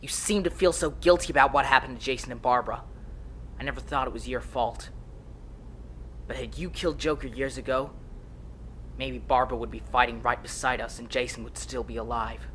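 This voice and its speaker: A man's voice: mid-range voice, steady tone, a little fast, a bit bright, slight background noise, natural voice. A man playing a vigilante's resentful young son in an audio drama, speaking in an accusing, pointed way. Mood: bitter, accusing.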